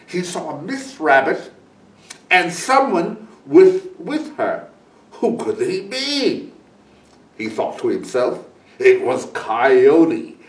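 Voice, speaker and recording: A man reading a children's story aloud as a guest storyteller, in an animated way. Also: high voice. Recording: echoey room, distant microphone.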